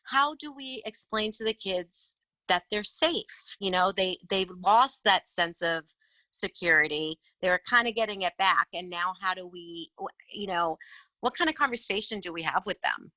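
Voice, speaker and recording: A woman's voice: medium pitch, normal speed, sharp tone, no room sound, rough recording. A woman asking an earnest question on a video call, searching for her words as she goes. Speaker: community member asking a question. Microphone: video call microphone.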